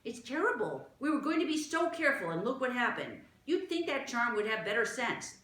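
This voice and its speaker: A woman's voice: a little high, sing-song, a little fast, fairly bright tone, pitched above the performer's own voice. A woman voicing a bossy, dramatic young boy who speaks in a pompous, worked-up way. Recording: roomy echo.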